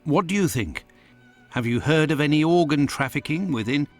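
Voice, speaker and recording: British sidekick doctor, slightly high voice, swoops about, concerned and curious, polite, video game with music. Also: male voice, expressive, normal speed. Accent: British English. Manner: earnest, polite, inquiring. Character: earnest, concerned male Victorian English doctor, detective's companion. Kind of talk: dramatic video game dialogue.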